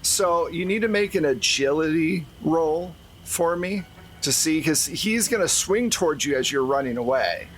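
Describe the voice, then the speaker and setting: A man's voice: on the high side, expressive, normal speed. A man acting as game master, narrating a tabletop role-playing game to the players. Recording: faint background noise.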